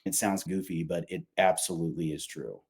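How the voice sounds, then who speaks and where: A man's voice: sits low, fairly quick, darkish tone, slightly rough. A man talking informally and emphatically, explaining his company's product in a conversational way with casual asides.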